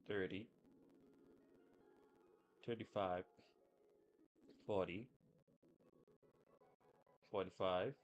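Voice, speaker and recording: A man's voice: fairly low pitch, muffled recording. Slangy male game streamer, noisy audio. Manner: slangy, informal, talks to viewers. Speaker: game livestreamer. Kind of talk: casual gaming livestream chat.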